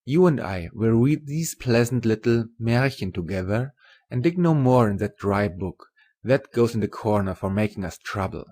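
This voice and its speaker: A man playing a kindly middle-aged German professor in a dramatised audiobook, with a German accent and hearty, deliberate broken English. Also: lowish voice, animated, mellow. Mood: hearty, encouraging. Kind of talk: dramatised literary audiobook dialogue.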